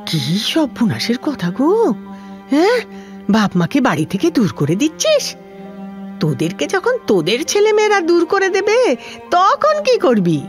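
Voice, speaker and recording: A woman's voice: on the high side, big pitch swings, takes it slowly, long pauses. An older woman playing a frail, lonely former housemaid in a Bengali audio drama, rambling in homely Kolkata Bengali with a plaintive manner. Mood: aggrieved, indignant. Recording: music behind the voice.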